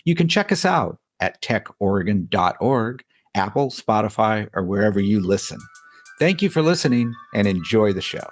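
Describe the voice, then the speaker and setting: A man's voice: fairly low pitch, big pitch swings, normal speed, no room sound. An American man reading a scripted podcast introduction as an announcer. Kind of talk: scripted promotional narration. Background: music.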